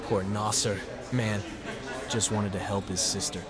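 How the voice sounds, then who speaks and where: Man playing wry, low-pitched teenage boy. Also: flat delivery, measured pace, a loud crowd, lightly acted. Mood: wry narrating. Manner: dry, sardonic inner monologue. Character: wry, awkward teenage human boy narrating his life. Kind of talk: first-person visual novel narration and dialogue.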